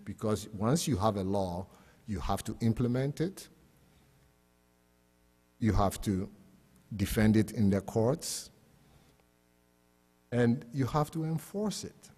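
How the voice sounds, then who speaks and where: Man, Ghanaian accent, dark soft-edged tone, explanatory panel talk, plain-spoken, long hall reverb. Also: fairly low pitch, expressive, slow, pauses often, husky. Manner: explanatory, plain-spoken, poses rhetorical questions. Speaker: academic panelist presenting on economics. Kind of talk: public panel presentation.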